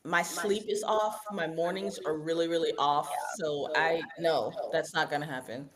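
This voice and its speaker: A woman's voice: low voice, fairly even intonation, a little slow, over a noisy crowd. Woman cohost rambling a bit, casual chat, lots of restarts. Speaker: podcast co-host.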